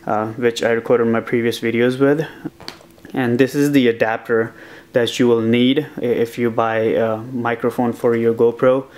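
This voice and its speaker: A young man talks through his motorcycle helmet setup in a loose, rambling way, peppered with ums and uhs. His pitch stays fairly level and even as he talks. He sounds close to the camera in a small home office. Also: moderate pace. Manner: relaxed, rambling with many uh and um fillers. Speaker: motovlogger. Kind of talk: casual vlog, gear walkthrough.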